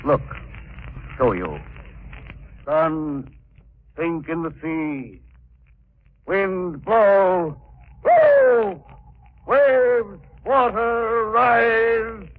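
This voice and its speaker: Slow, high-pitched menacing witch doctor. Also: big pitch swings, heavily acted. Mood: incantatory fury. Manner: slow, commanding incantation in broken English. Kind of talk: 1940s radio acting. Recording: noisy, narrow-band 1940s radio recording.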